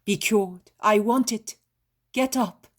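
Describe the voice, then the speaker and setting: A gentle, solemn holy man, as a woman reads him, speaking slowly in a soft, breathy voice a little louder than the narration. He is calm and sure, never hurried. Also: lowish voice. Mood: commanding. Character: gentle, solemn male teacher and healer.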